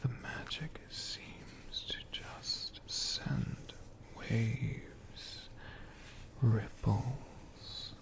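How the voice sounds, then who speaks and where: An ASMR storyteller of unclear sex telling tales in a hushed, drawn-out way, with long pauses and repeated words. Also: medium pitch, gravelly.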